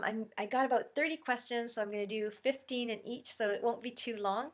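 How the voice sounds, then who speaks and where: A middle-aged woman from Canada, congested with a cold, chatting casually through a Q&A for her vlog with lots of ums and you-knows. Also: a little high. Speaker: YouTube vlogger. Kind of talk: casual Q&A chat to camera.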